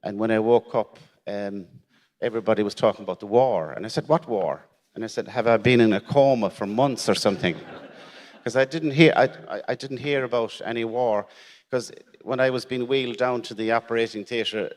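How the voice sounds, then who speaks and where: A middle-aged Irish man with a lowish voice, recorded with a long hall reverb. His first language is Irish, and he tells his story haltingly, often repeating words and laughing wryly. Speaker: shed member telling his story. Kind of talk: personal story at a conference. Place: conference hall.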